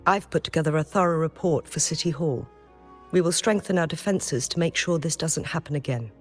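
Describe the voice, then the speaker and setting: An actor plays a courteous official reporting in a story game. His voice sits a bit high for a man and is measured and earnest. Faint music runs behind it. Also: natural voice. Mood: formal, earnest. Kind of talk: video game story dialogue.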